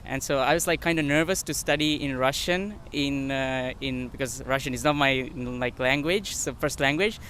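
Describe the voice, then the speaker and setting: A man of twenty-six from Sri Lanka giving a casual street interview, halting and often saying "like". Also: mid-range voice, fairly bright tone. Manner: halting, filler-heavy with frequent "like". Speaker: foreign student interviewee. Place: outdoors on a city street. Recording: outdoor street, faint traffic noise.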